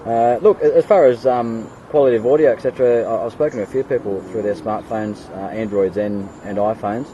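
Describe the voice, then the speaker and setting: An Australian man chatting casually as an amateur radio operator, in halting, hesitant phrases. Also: lowish voice, warm tone. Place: outdoors on a kayak on the water. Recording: dull radio audio with faint outdoor noise.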